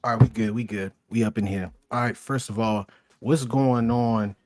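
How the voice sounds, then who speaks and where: A man's voice: mid-range voice, steady tone, normal speed, a little mellow, faint music behind. Laid-back man hosting a livestream. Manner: laid-back, slangy, talks to chat. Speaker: livestream commentary host. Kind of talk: casual livestream chat.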